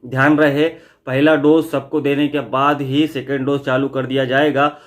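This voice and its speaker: A man presents an informal news update to his viewers. His voice sits at an average pitch for a man. He explains things plainly, repeating key points for emphasis as a vlog host. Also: flat delivery, moderate pace.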